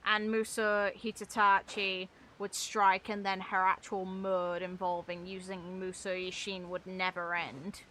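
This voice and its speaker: A woman's voice: mid-range voice, flat delivery, unhurried, sharp tone, slight background noise. A woman giving casual gameplay commentary, blunt and sweary as she talks to the game. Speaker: game streamer.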